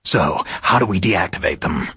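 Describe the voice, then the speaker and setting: A hardened American secret agent from a video game. His voice is very husky and pitched low. He talks in terse, gruff bursts with a weary edge. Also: expressive, moderate pace, pushed and bright, no room sound, studio quality, full character voice. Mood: focused. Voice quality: gravelly, husky. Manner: terse, questioning.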